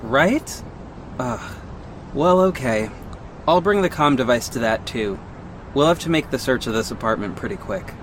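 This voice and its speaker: A man acting as a guarded, sardonic costumed vigilante in an audio drama, measured and terse, with an exasperated edge. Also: mid-range voice, lively intonation, a little hiss or hum, lightly acted. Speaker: narrator and lead actor. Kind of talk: first-person audio drama narration and dialogue.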